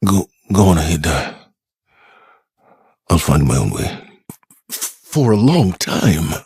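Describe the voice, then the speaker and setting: Very husky, deep, weary commander. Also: male voice, big pitch swings, slow, a little mellow, no room sound, clean studio sound, exaggerated voice. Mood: weary, grieving. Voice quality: husky, gravelly. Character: weary, guilt-laden male commander. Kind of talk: dramatic game voice acting.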